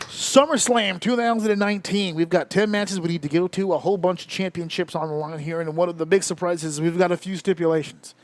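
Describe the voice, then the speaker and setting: A man's voice: high voice, fairly even intonation, brisk, few pauses, slight background noise. A man in his thirties hosting a casual podcast round table, talking in an emphatic, profane, ranting way. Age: 30s. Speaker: podcast host.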